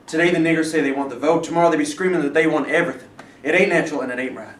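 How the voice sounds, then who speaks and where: A man's voice: on the high side, rapid-fire, natural voice. A man in a staged reading playing a blunt, stubborn, bigoted aide to a governor, speaking insistently. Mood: resentful. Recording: roomy, distant mic, faint noise.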